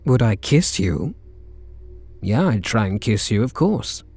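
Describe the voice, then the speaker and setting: A man's voice: on the low side, big pitch swings, a little mellow, slightly rough, faint music behind, heavily acted. A man with a British accent playing a smitten kidnapper, a sweet-talking gangster, in an intimate ASMR roleplay. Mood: wistful, flirtatious. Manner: slow, hesitant sweet talk with pet names.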